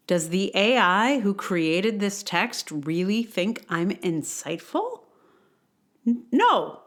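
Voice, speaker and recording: A woman's voice: medium pitch, fairly bright tone. A woman hosting a podcast, speaking in a conversational, wry way with emphatic stresses. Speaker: podcast host and blogger. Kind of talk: casual intro chat and read-aloud blog.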